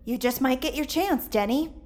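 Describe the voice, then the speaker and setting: A woman's voice: sits high, moderate pace, darkish tone, close to an everyday voice. An actress playing a gung-ho, theatrical young woman in an audio drama, eager and dramatic.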